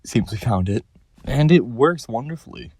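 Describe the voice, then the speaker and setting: Mellow, echoey male theory host. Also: medium pitch, animated, moderate pace, breathy edge. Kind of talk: scripted commentary.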